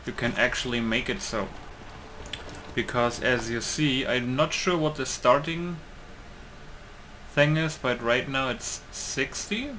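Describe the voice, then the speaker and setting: A man's voice: mid-range voice, slow, long pauses, sharp tone. A man giving casual, rambling game commentary, thinking aloud and repeating himself. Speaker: gamer commentating on own playthrough. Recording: clearly noisy recording.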